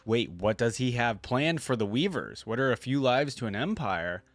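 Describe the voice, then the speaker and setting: A man's voice: medium pitch, expressive, moderate pace, dry recording. Casual man reacting, dry close sound. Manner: slangy, says bro and dude. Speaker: YouTube reactor. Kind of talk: casual reaction commentary on game voice lines. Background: game voice lines and faint music.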